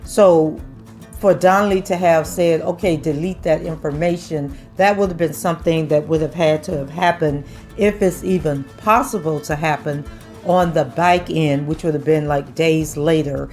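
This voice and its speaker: Hoarse-voiced woman explaining court procedure. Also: sits low, fairly even intonation, moderate pace, a little mellow, soft background music. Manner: explains step by step, many "and so" links. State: losing her voice. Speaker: commentator reading court transcripts. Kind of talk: casual commentary, reading aloud.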